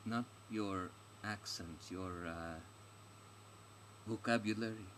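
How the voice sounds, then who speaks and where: A man's voice: on the low side, takes it slowly, a bit bright. A man voicing a slight young local guide in a fantasy story, stubborn and formal, with stilted foreign phrasing. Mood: flat, curt. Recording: clearly noisy recording with ambient background.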